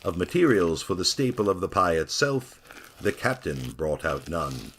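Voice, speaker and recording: A man narrating a dark tale in a theatrical, expressive storytelling reading with grim relish. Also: low-pitched, normal speed, slight background noise.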